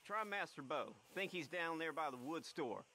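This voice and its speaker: An actor playing a dismissive, brusque plantation hand in a video game. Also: male voice, a little high, animated, normal speed, bright, forceful tone, a little hiss or hum. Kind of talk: video game cutscene acting.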